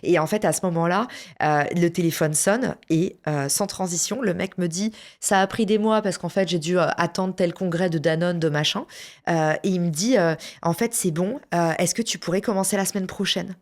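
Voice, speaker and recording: A French woman telling a personal story in a casual interview, lively and slangy, with many fillers and restarts. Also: lowish voice, moderate pace. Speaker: podcast guest, entrepreneur.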